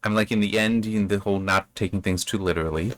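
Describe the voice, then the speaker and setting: A man giving casual, unscripted commentary on a story as a reviewer, in a bemused, halting, rambling way that often repeats words. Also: fairly low pitch, steady tone, a bit bright. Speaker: book reviewer reading and commenting.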